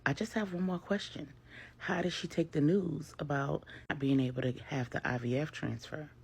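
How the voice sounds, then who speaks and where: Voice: medium pitch, fairly even intonation, normal speed, vocal fry, slightly rough. A storyteller voicing a pushy, prying mistress in a serial drama story. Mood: prying, peeved.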